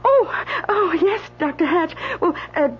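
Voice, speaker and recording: High-pitched radio drama woman, earnest and hesitant, muffled noisy vintage recording. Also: expressive, measured pace. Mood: flustered. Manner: hesitant, repeating words, pleading. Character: gentle, earnest woman keeping watch over a dead man.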